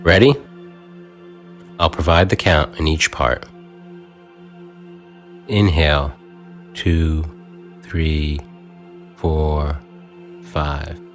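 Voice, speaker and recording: A man with an extremely low voice talks a listener through a guided meditation, counting each breath. He speaks softly and unhurriedly, with long pauses. Faint new-age music plays behind him. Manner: calm, soft, unhurried instructions with long pauses.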